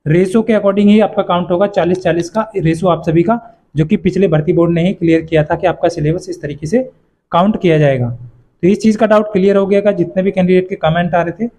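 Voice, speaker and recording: A man's voice: sits high, a little fast, mellow. A man presents an informal exam update. He explains things step by step in an instructive, reassuring manner. He tends to repeat his points for the listeners.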